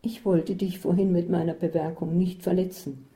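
A woman reading the lines of an easygoing, teasing male traveling companion in a storybook reading, in a calm, matter-of-fact way. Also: fairly low pitch, moderate pace, lightly acted. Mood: apologetic.

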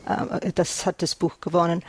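A woman's voice: lowish voice, level tone, moderate pace, vocal fry, husky, no echo. An Australian woman in her thirties, an author and police officer, interviewed in German, searching for words with many fillers and restarts. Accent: Australian English. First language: English. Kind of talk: interview guest.

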